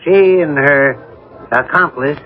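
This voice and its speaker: An actor playing a suspicious, scornful older husband in a 1980s radio drama, sardonic and skeptical. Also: male voice, a little high, slow pace. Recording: narrow-band vintage radio broadcast.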